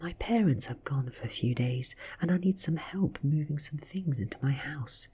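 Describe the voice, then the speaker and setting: A British man voicing an ancient female vampire in a storybook reading, seductive and calculating, with soft breathy coaxing. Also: on the high side, normal speed, pitched above the performer's own voice. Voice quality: breathy, whispery.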